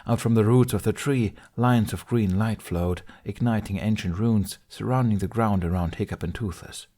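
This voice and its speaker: Man, story narration, low voice, steady, expressive, audiobook reading. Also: normal speed. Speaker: podfic reader.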